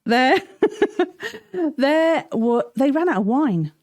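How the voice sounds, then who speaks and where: A woman's voice: sits high, very animated, very slow, a little mellow. A woman hosting children's Bible story time in an informal, conversational, exclamatory way. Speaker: host, storyteller.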